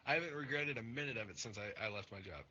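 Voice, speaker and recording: A man's voice: mid-range voice, quick pace, bright, forceful tone. Earnest rambling man on a lo-fi mic. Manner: earnest, rambling, many fillers and restarts. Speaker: podcast host and Twitch streamer. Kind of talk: casual podcast chat.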